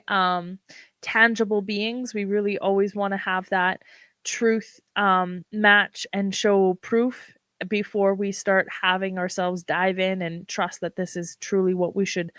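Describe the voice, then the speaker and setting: A woman hosting a livestream, talking casually with a froggy, hoarse voice and many ums. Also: mid-range voice, a bit bright, no room sound, clean studio sound. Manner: unhurried, with frequent ums. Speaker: livestream host.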